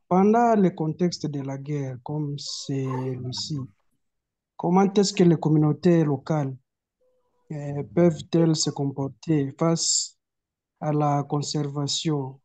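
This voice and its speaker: A man from the DR Congo, asking two formal questions over a video call in a measured, orderly way. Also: on the high side, fairly even intonation, warm tone. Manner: measured, orderly, with filler "euh". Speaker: audience member asking questions. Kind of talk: formal question in an online talk.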